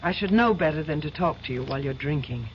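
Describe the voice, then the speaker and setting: A woman's voice: sits low, animated, fast. An actress playing a playful, coaxing young woman who turns insistent, in a 1970s radio drama. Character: teasing, coaxing young woman, stubborn underneath. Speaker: lawyer's girlfriend and boss's daughter. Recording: dull lo-fi old radio broadcast with faint hiss.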